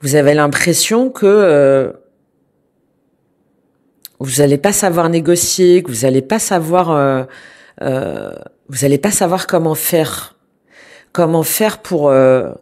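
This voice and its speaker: A woman's voice: low voice, expressive, slow, pauses often. Hesitant woman with a cold reading tarot. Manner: hesitant, many fillers and restarts, gentle and reassuring. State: has a cold, congested. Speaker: tarot reader. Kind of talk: spoken tarot reading to viewers.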